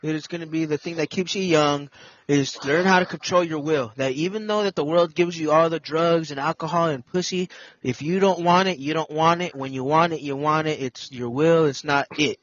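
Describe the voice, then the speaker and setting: High-voiced man in his thirties, muffled audio. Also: normal speed, fairly bright tone, no echo. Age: 30s. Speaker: podcast host. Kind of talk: casual group talk.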